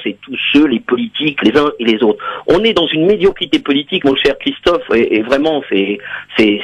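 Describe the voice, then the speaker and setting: Man, radio caller, husky, dull lo-fi phone sound, halting. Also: on the high side. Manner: hesitant, restarts often, then rants. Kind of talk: call-in radio talk. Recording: muffled phone line on radio.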